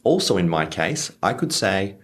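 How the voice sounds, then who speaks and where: A young man teaching English phrases to learners, slowing down on example lines. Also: deep voice. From Australia. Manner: lively, stresses example phrases slowly. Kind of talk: clear teaching explanation for learners.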